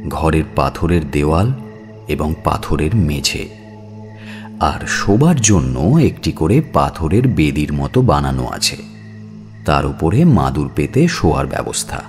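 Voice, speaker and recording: A man with a very deep voice narrates an audio story. His delivery is measured and slow, yet his pitch moves expressively through the telling, over soft music.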